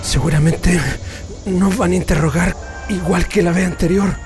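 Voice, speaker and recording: Male actor as battered captive, Chilean accent, high pained voice, groaning, wary, radio play, music underneath. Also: steady tone, moderate pace, lightly acted. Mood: wary, bitter. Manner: groaning, hushed, cautioning. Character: blindfolded, beaten male prisoner, wary and bitter. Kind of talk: radio drama acting.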